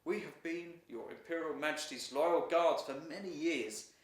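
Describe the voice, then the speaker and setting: A man's voice: fairly high pitch, normal speed, voice pushed up, natural voice. Guy doing a loyal guardian lion servant from a storybook, solemn and formal, bit far from mic, echoey. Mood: solemn, deferential. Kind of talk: storybook character dialogue. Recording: roomy echo, distant mic.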